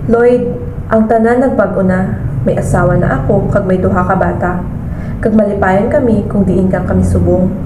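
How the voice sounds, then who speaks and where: Young woman acting earnest forgiving woman, Hiligaynon accent, radio drama, echoey room, clear background noise. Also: medium pitch, natural voice. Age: young adult. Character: sincere woman, loving, later married and forgiving businesswoman. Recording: roomy, noisy amateur room recording.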